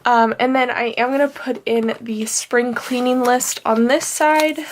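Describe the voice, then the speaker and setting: A woman's voice: fairly high pitch, fairly even intonation, measured pace, a little hiss or hum. A young woman with a nasal, stuffed-up voice, chatting casually and rambling with fillers while she plans. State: nasal from allergies. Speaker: planner vlogger. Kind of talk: casual plan-with-me chat.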